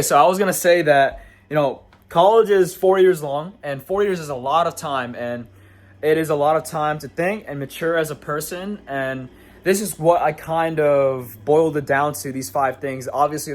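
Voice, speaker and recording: A man in his early twenties giving a casual talking-to-camera reflection, rambling with many fillers and restarts and laughing at his own slips. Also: fairly high pitch. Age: 20s. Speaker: vlogger.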